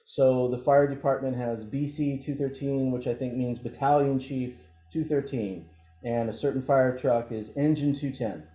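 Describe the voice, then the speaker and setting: A man giving an informal training presentation as an instructor, explaining things haltingly and thinking aloud through examples. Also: mid-range voice, steady tone, dark tone. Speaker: emergency radio trainer. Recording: dull, roomy, echoey audio.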